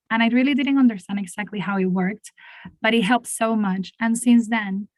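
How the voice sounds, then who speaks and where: A woman's voice: mid-range voice, no room sound, low-quality recording. A woman from Venezuela with a Venezuelan accent, speaking on an online panel about mentoring while she has a cold, enthusiastic with frequent restarts. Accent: Venezuelan Spanish.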